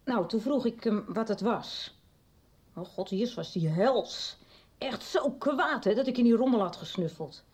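A middle-aged woman playing a guarded, worried mother in a radio soap, speaking in a hesitant, halting way. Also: on the high side, lively intonation, a little mellow, slightly rough. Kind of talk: radio drama acting.

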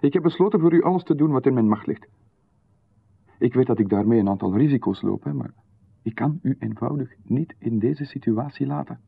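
A man's voice: mid-range voice, lively intonation, a little fast, gravelly, husky. An actor playing a pushy, overly familiar male stranger in a radio drama, insistent and ingratiating, with stammered restarts and little chuckles. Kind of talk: 1990s radio drama acting. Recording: lo-fi radio broadcast, phone-like band.

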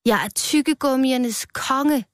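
Little male chewing gum hero, played by a woman: high-pitched, eager, earnest storybook declaiming. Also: no room sound, studio quality, lightly acted. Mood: proud. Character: small hopeful male chewing gum, eager, easily saddened.

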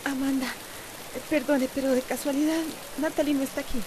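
A woman's voice: high voice, fairly even intonation, moderate pace, background noise, lightly acted. An actress playing a worried, fussing mother of teenagers in a radio drama, with a Costa Rican accent and an anxious, sighing delivery. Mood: anxious, upset. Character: worried, fretful mother of teenagers, gently scolding.

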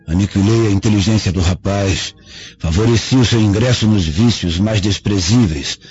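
A man's voice: deep voice, darkish tone, natural voice. An actor with a Brazilian accent playing a proud, vengeful dying father confessing with remorse, in solemn, theatrical radio drama phrasing. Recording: dull lo-fi radio drama, faint music behind.

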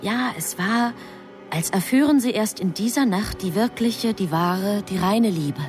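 A woman playing a heavenly messenger who narrates human affairs in a radio drama, in a measured, literary manner. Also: medium pitch, over music, close to an everyday voice. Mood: measured, reflective. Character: female heavenly messenger calmly recounting human love affairs.

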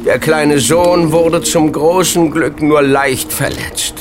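An actor playing a knowledgeable father who explains mysteries in a deliberate, dramatic way in an audio drama. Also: fairly high pitch, steady tone, noisy background. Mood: grave, mysterious. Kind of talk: German audio drama acting.

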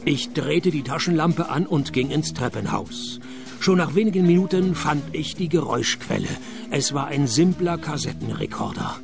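Cynical private eye, dry wit, gravelly voice, expressive pitch. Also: male voice. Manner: deadpan, sardonic. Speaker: private detective and narrator. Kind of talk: radio play narration.

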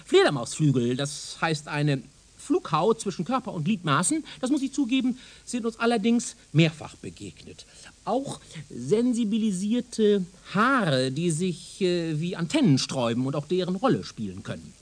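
Pompous, high-pitched husky male professor. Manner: pompous, lecturing, emphatic. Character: pompous, self-assured male museum professor, excitable and condescending. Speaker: radio play actor. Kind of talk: German radio drama acting.

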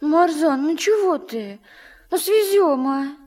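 A woman playing a lively, pleading, stubborn child in a radio play, expressive and childlike. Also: high-pitched, slow pace, long reverb tail. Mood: excited, pleading. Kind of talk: radio play narration and acting.

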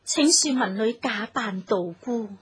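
High-voiced, humble Cantonese young wife. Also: female voice, expressive. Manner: deferential, plaintive, measured. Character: humble, sorrowful young wife disguised as nun. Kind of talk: Cantonese radio drama acting. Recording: music clearly behind the voice.

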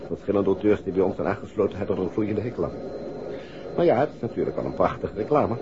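An actor playing a courteous, sociable airline passenger in a 1960s radio drama. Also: male voice, low-pitched, expressive, fast talker. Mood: sociable, polite. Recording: dull old recording, clear background noise. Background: noisy aircraft cabin ambience.

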